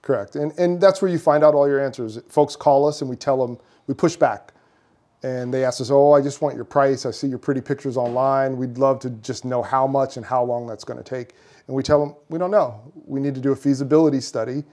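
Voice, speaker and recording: A man's voice: medium pitch, fairly even intonation, a little fast, warm tone. A man in his thirties, a builder and firm founder, explaining his work earnestly in an office meeting room, with some fillers. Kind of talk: interview answers.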